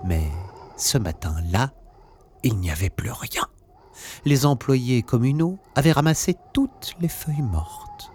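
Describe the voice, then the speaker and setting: Male children's narrator: very animated pitch swings, measured pace, faint noise. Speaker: storyteller. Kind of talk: expressive scripted children's story narration.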